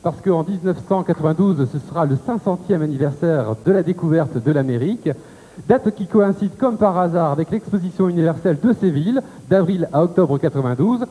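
High-voiced man hosting a TV game show. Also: lively intonation, dark tone. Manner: upbeat, chatty, questions contestants briskly. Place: TV studio set. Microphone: handheld mic. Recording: reverberant studio, faint tape hiss.

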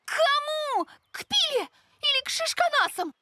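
A high-pitched, bossy cartoon girl. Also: measured pace, exaggerated voice. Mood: irritated. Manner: emphatic, expressive, exasperated. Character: bossy, irritable young girl creature, soft-hearted underneath. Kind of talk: animated children's cartoon acting.

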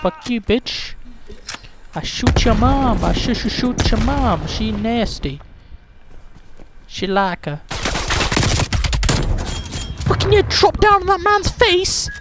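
Young guy with a high voice, swears a lot while he plays, loud gunfire going off behind. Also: slow pace, long pauses, heavy background noise. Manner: swears a lot, laughs, gets loud and excited. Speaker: gaming channel host. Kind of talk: casual gameplay chat. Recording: game audio with gunfire behind. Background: gunfire from the game.

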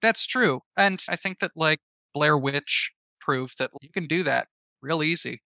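Bright-voiced man chatting as a guest. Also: a little high, measured pace, vocal fry, no room sound. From American Southeast. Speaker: podcast guest, writer and filmmaker. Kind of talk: casual interview chat, giving advice.

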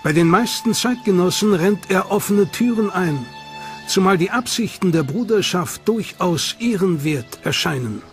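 A man's voice: high voice, expressive, normal speed. A man narrating a documentary from a script with a dramatic, suspenseful delivery. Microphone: studio mic. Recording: studio voice-over over loud music.

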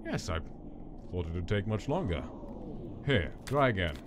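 A man playing a cold, stern scientist monster in an animated comic dub, speaking in a measured, formal and commanding way. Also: fairly low pitch, background noise. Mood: quietly impressed. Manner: measured, formal, precise diction.

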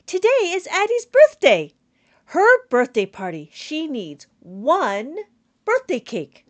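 A middle-aged woman reading a children's counting book aloud as a teacher, animated and sing-song, drawing out words for young children. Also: high voice, takes it slowly. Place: small indoor room at home.

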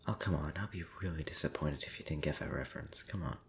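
A man speaking softly and breathily, often whispering, in an intimate ASMR roleplay monologue, rambling hesitantly with long pauses. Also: very deep voice, vocal fry, slight background noise. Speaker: audio roleplay voice actor.

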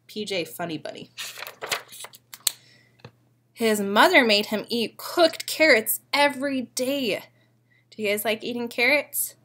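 Young woman, higher-pitched voice, expressive storytime reading, frequent questions to young children. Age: young adult. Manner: expressive, often pausing to ask children questions. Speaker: preschool teacher reading aloud. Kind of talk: picture-book read-aloud for young children. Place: living room at home.

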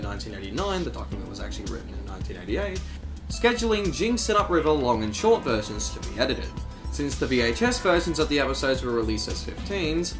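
A man's voice: a little high, a bit bright. A man hosting a video essay, narrating from a script.

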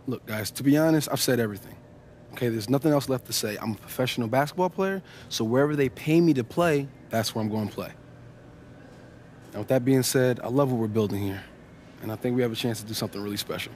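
An American actor playing a guarded young pro basketball player in a video game, matter-of-fact and diplomatic. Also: male voice, mid-range voice, fast, darkish tone, slight background noise, lightly acted. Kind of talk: naturalistic video game cutscene dialogue.

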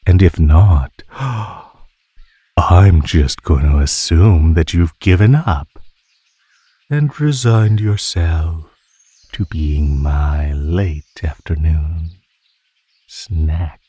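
A predatory naga played by a man speaks in an extremely low, dark and mellow voice with a husky breath to it. He drawls at his captive prey with teasing, belittling sweetness. The ASMR roleplay is recorded dry and close. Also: very slow, no echo, exaggerated voice. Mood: playful menace. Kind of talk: ASMR roleplay, scripted monologue.

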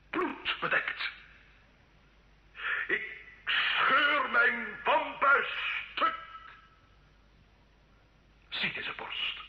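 A man's voice: fairly high pitch, pushed and bright, full character voice. An actor playing a tormented, murderous French nobleman confessing in an old radio drama, theatrical and impassioned. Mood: anguished outcry. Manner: impassioned, anguished, dramatic swings from whisper to cry. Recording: muffled old radio recording, faint noise.

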